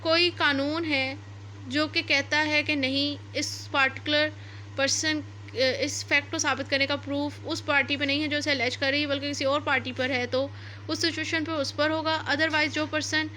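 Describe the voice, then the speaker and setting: A woman's voice: high voice, little pitch movement, moderate pace, sharp tone, slight background noise. A woman teaching law, reading passages aloud and then explaining them step by step, repeating and rephrasing her points. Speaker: law lecturer.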